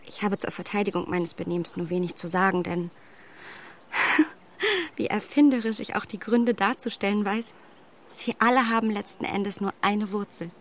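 An actress playing a proud, candid young gentlewoman in a radio drama, polite and articulate as she reproaches herself. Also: mid-range voice, moderate pace, natural voice. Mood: earnest, confessional. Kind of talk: German radio drama acting of a classic novel.

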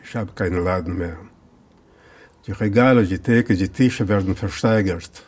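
A weary shopkeeper from Portugal, low and husky in voice. He is bitter, and the delivery is naturalistic radio drama. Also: moderate pace, a little mellow. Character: bitter, weary Portuguese male shopkeeper who lost his shop.

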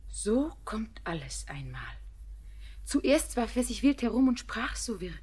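A young woman playing an anxious, devoted bride in a German radio drama, breathy and often near a whisper, with tender, fervent, poetic delivery. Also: mid-range voice, a little mellow. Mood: hushed, tender. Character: anxious, devoted young bride keeping watch over her beloved.

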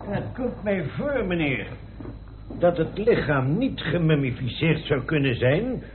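A man's voice: a little high, sing-song, measured pace. A man playing a hesitant, polite juror carefully raising a point in a radio drama. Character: hesitant, polite male juror questioning a witness. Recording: tinny, faintly noisy old radio recording.

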